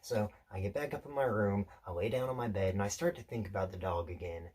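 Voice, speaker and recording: A male actor performs an intense, solitary drifter telling a rambling story in a theatrical monologue. The voice sits low and has a husky grain. He confides in his listener with deliberate pauses and small self-corrections, always somewhat unsettled. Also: darkish tone. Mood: wry, wounded.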